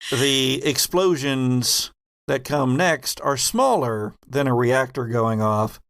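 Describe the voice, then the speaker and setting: A man narrating a tabletop horror game as game master, telling it deliberately with pauses. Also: medium pitch, expressive, a bit bright.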